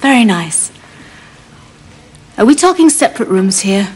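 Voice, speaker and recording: A woman's voice: mid-range voice, very animated, a little slow, slight background noise. A woman in a sitcom role with a dry, teasing wit. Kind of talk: sitcom acting.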